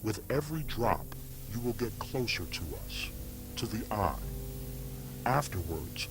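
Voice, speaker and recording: A man playing a solemn cult leader in a horror series, delivering a deliberate ritual address in short, preaching phrases. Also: on the low side, sing-song. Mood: solemn, commanding. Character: solemn male cult leader welcoming an initiate. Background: music.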